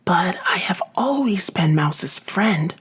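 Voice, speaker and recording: Woman as a hushed, gentle storybook snake. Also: fairly low pitch, expressive, slow pace, husky, exaggerated voice. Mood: earnest. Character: gentle, loyal male snake friend.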